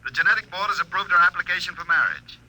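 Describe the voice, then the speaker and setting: An eager young fiancé from a stiff future society in an old radio play. His voice is fairly high and very sharp, coming thinly down a telephone line, formal even in his endearments. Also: quick pace, heavily acted. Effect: telephone filter. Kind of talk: 1950s radio drama acting. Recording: old radio broadcast, thin telephone sound.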